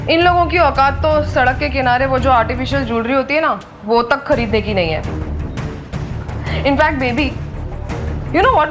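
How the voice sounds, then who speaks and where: A smug, spoiled young woman in an audio drama. She is very high and animated, catty and mock-sweet with English tossed in, over clearly audible music. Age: young adult. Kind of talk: Hindi audio drama acting.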